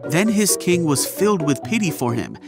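A man reading the scripted narration of a children's Bible cartoon, telling the story in an even, clear way. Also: a little high, normal speed, over music.

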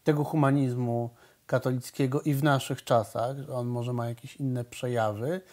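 A man's voice: medium pitch, steady tone, normal speed, darkish tone. Middle-aged male interviewer, studio set, meandering questions. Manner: long winding questions with fillers.

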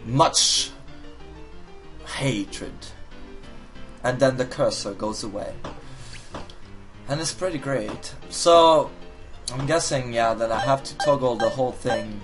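A man casually commenting while he plays a puzzle game, reading the hints aloud and joking as he goes. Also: medium pitch. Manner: animated, reads on-screen hints aloud, jokey outbursts. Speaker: gaming video host. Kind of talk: casual let's-play commentary.

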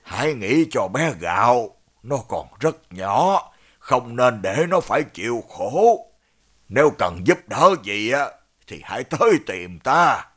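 Slow-talking, calm, kindly village man. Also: medium pitch, full character voice. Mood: gentle, concerned. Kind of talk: animated fairy-tale cartoon voice acting.

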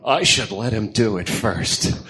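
A man voicing a grim, brooding masked vigilante with a deep growl and a solemn, menacing delivery in a radio drama. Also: animated, measured pace, pushed and bright. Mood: disgusted.

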